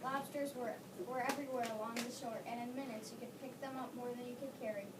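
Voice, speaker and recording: A woman narrating a history play in a readers theater reading, explaining events in a clear read-aloud manner. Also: on the high side, steady tone, fast. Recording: echoey room, distant mic, loud noise.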